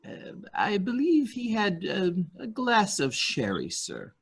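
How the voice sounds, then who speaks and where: A performer playing a polite, hesitant servant loyal to the employer, with a husky voice, in a dramatic murder mystery reading. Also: mid-range voice, wildly swooping pitch, heavily acted. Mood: hesitant, deferential. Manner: halting, polite, deferential.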